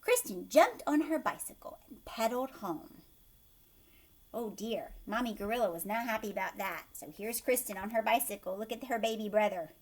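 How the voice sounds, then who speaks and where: A woman teacher reading a storybook aloud to children, expressive and addressing young listeners directly. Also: fairly high pitch, normal speed.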